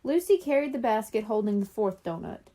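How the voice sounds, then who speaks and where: A woman with a fairly high voice narrates a children's story. Her reading is expressive and scripted, with very animated pitch movement that suits an audiobook for young listeners. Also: brisk.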